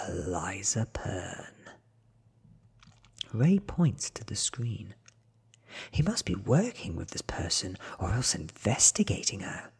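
Hushed, breathy man reading in a low whisper. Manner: slow, hushed, deliberate. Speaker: ASMR book reader. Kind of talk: soft-spoken whispered ASMR audiobook reading. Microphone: close microphone in front of him.

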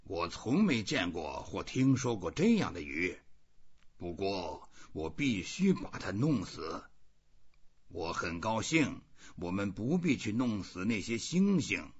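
A weary old fisherman from a storybook, read by a man, stoic and reflective. He muses slowly to himself in a very breathy, husky voice that rises and falls freely. The sound is a little muffled. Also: lowish voice, sing-song, full character voice. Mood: resolute, fond.

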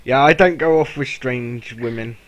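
A man's voice: medium pitch, normal speed, a bit bright, poor audio. A man with a Cornish accent chatting casually with a friend while playing a game, laughing often and teasing. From Cornwall. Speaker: game player. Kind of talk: casual banter while gaming.

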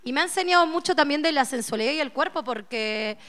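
A woman, an actress guest on a live stage chat, chiming in with quick agreement. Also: sits high, animated, fairly bright tone. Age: 40s. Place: theater stage with a live audience. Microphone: stand mic at a table.

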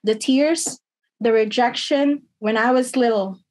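Earnest middle-aged woman, slightly high voice. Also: darkish tone. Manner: earnest, measured, slightly halting delivery. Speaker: union member. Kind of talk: personal testimony read aloud. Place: dim room at home. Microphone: webcam on a video call.

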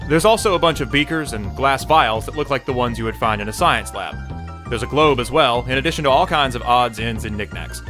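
Animated male storyteller over music. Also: mid-range voice, brisk. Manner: descriptive, articulate. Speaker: narrator. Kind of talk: scripted visual-novel narration. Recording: music behind.

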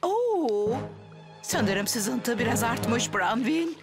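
A woman voicing a highly strung, theatrical middle-aged village woman in a children's cartoon dub. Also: sing-song, very slow, full character voice. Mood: eager. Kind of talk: children's animated series dub. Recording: loud music underneath.

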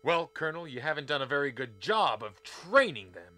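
A man's voice: on the high side, sing-song, a little fast, bright, forceful tone, no echo. A man voicing a cocky hero agent in a game cutscene, delivering smug wisecracks.

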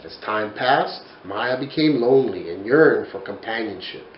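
Man in his 40s, storybook read-aloud, lively pitch, roomy slightly dull sound. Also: a little slow, a little hiss or hum. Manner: expressive, animated storytelling. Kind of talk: children's book read-aloud. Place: home study lined with bookshelves.

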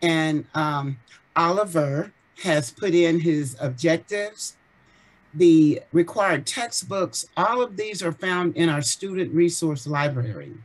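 Woman, practical step-by-step explainer, fairly low voice for a woman, video call. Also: animated, takes it slowly, rough recording. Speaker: course administrator. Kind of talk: walking through a syllabus on screen.

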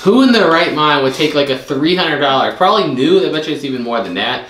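A man's voice: on the high side, lively intonation, fast, fairly bright tone. A man in casual chat, going over items and estimating what they will resell for. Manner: chatty, estimating resale prices with a few restarts. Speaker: co-host reselling storage unit finds. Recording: echoey room with faint noise.

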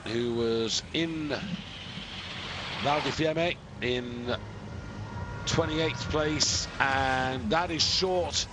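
A man giving live, measured commentary on a ski jumping competition, explaining scores and distances with pauses and fillers. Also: fairly high pitch. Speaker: sports commentator.

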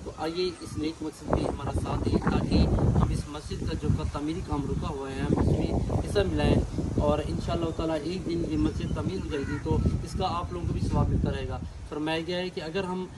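A man's voice: fairly high pitch, fairly even intonation, fairly quick. A man presenting a charity appeal on location, speaking in an earnest, devout and repetitive way. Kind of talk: spoken appeal to camera with on-site interviews. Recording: noisy field recording with vehicle sounds.

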